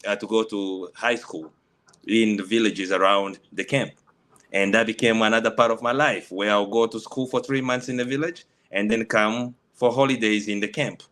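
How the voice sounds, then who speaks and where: Halting low-voiced man from the Congo. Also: fairly bright tone. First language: Swahili. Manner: halting, many restarts and fillers. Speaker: panelist. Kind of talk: personal story on a panel. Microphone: video call.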